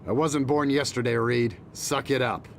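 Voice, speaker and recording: A man's voice: mid-range voice, fairly even intonation, moderate pace, slight background noise, lightly acted. A man playing a curt, by-the-book senior federal agent in a video game drama. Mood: curt, dismissive. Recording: loud music over the voice.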